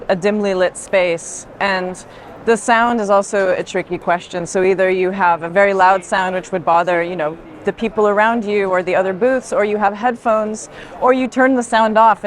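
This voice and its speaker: A woman's voice: mid-range voice, steady tone, moderate pace. A woman giving reflective, explanatory art commentary in conversation, recorded in a busy fair hall. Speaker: art institution director on a fair tour. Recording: clear background noise of a busy venue.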